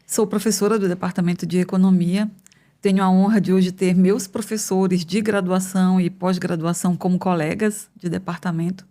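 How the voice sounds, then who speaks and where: A woman in her fifties from northern Brazil, an economics professor answering interview questions in a thoughtful, measured way with frequent pauses and hesitations. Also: on the low side, little pitch movement, mellow. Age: 50s. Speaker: economics professor, podcast guest. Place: podcast studio.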